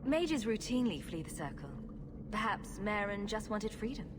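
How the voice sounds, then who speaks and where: Woman as a wry rogue heroine, mid-pitched. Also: sing-song, normal speed, lightly acted. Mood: inquiring. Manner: wry, breezy. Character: sarcastic, flippant female rogue adventurer. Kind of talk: video game dialogue acting. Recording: clear noise behind the voice.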